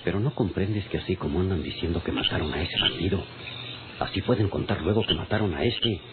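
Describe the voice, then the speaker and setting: An actor playing a wary, commanding crime boss in an old radio serial, with a Mexican accent. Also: male voice, deep voice, a little fast, natural voice. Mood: scolding. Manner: secretive, commanding. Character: wary, scolding male boss plotting a killing in secret. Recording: tinny, very noisy old radio recording.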